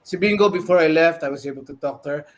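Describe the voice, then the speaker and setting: An older man with a Filipino accent reminiscing casually and sentimentally in halting phrases on a video call. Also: fairly high pitch. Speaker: guest, former radio personality. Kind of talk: casual reminiscing in a group chat. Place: indoors at home, on a video call. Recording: noisy video call with clicks.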